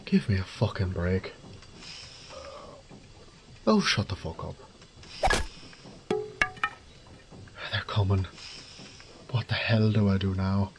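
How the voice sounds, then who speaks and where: Gruff, low-voiced sardonic thief played by a man. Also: lively intonation, measured pace, pauses often. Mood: frustrated, scheming. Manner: gruff, sardonic, swearing under his breath. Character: wry, foul-mouthed adult male thief, resourceful under pressure. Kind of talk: audio roleplay drama, muttering to himself.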